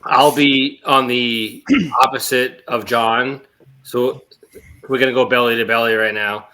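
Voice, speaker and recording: A man's voice: mid-range voice, fairly even intonation, a little slow, sharp tone, a little hiss or hum, rough recording. A man chatting casually as a podcast panelist, with a blunt manner. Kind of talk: casual chat.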